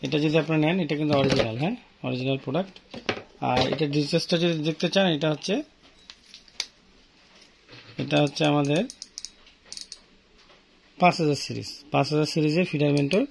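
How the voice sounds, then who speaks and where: A man's voice: a little high, moderate pace, creaky, pauses often. A man with a Bangladeshi accent informally showing products as a shop seller, explaining and repeating himself, recorded on a phone in a small shop. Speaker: fishing tackle seller. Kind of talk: informal product showcase for customers. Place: small indoor fishing tackle shop. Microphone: handheld phone near the speaker. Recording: noisy phone recording with clicks.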